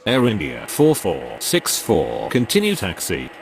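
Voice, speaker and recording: Casting: male actor as brisk game air traffic voice, low and creaky, official clipped calls. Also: wildly swooping pitch, exaggerated voice. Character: male airport radio operator, brisk and official. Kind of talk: clipped aviation radio phraseology.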